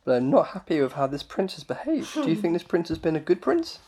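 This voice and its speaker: A man's voice: a little high, very animated, fast, a little mellow, husky. A man speaking as a father in a casual bedtime chat with his young child, questioning and patiently explaining with mock concern.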